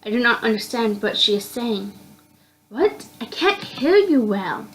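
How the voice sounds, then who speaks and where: A woman's voice: a little high, very animated, a little slow, poor audio. A woman voicing a bewildered, easily annoyed young male visual novel protagonist, exclaiming in confusion. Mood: confused.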